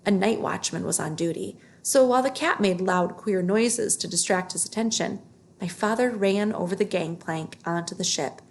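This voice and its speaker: A woman narrates a children's book aloud. Her voice sits at a medium pitch with a noticeable creak. She reads expressively and takes care with the phrasing. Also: normal speed.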